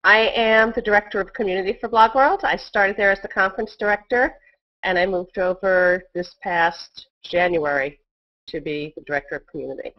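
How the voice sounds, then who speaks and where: A woman's voice: medium pitch, moderate pace. A middle-aged woman chatting casually as an interview guest over a video call. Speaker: interview guest, community director. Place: indoor room at home. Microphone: earbud headset on video call. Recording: dull, lo-fi video call audio.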